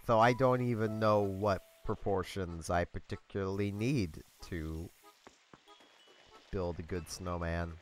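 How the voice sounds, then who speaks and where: A man's voice: deep voice, slow, faint music behind. Congested man chatting over gameplay. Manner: rambling, with many ums and small laughs. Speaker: let's play host. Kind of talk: casual gameplay commentary.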